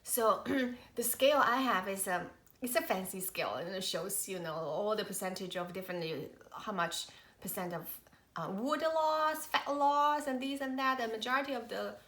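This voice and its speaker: A woman in her thirties, a mother of three, chatting casually to camera in a halting, rambling way, her voice nasal from a cold. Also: mid-range voice, animated, a bit bright. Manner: rambling, halting, many fillers and restarts. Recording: echoey room.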